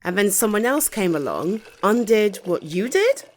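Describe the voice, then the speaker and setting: A woman's voice: mid-range voice, sing-song, normal speed, natural voice. A woman with a British accent playing a caring, tired girlfriend home from the office, talking in a soothing, chatty, hesitant way in an intimate ASMR roleplay. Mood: sympathetic, supportive.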